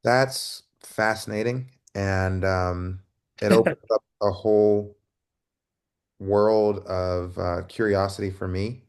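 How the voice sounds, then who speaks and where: Male interviewer, storyteller type: slow, measured delivery, lowish voice. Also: steady tone, darkish tone. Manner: slow and deliberate, searching for words. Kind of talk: conversational interview.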